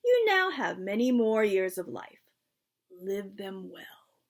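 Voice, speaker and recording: A woman voicing an absorbed, musing old man in a storybook, speaking in a slow, deliberate, pondering way. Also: medium pitch, big pitch swings. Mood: satisfied.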